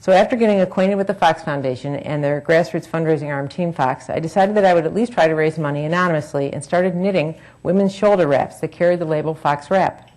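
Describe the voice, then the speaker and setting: Low-voiced woman reading a personal talk. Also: fairly quick, hardly any pauses, a little mellow, rough recording. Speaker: speaker at a public forum.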